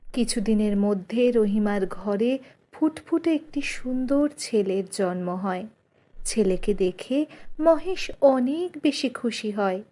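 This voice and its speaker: A woman's voice: mid-range voice, lively intonation, a little slow, warm tone. A woman reading scripted narration for a children's cartoon story.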